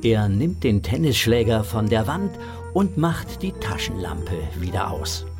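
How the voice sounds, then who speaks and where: A man narrating a scripted children's audio drama in a measured, suspenseful way. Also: fairly low pitch, very animated, over music.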